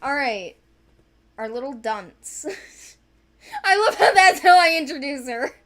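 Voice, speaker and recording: A giggly, animated woman rambling casually as a co-creator talking about original characters. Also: sits high, slow. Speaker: creator of an animated series project.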